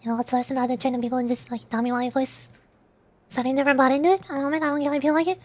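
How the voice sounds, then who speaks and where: A woman with a small, squeaky, girlish voice, chatting casually and earnestly to viewers on a livestream. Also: steady tone, very fast. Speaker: streamer and VTuber, voice actor.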